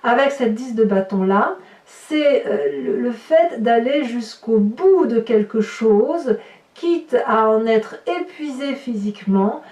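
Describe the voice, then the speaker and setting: A woman's voice: on the high side, expressive, slow pace, a little mellow. A woman giving an improvised tarot reading to the viewer, explaining and repeating phrases for emphasis. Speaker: tarot reader. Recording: roomy echo, distant from the mic.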